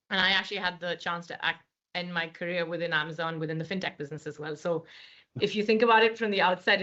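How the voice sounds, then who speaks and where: Low bright-voiced Indian woman. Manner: articulate, many fillers and restarts. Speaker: guest, managing director. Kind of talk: podcast interview, conversational. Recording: slightly dull lo-fi sound.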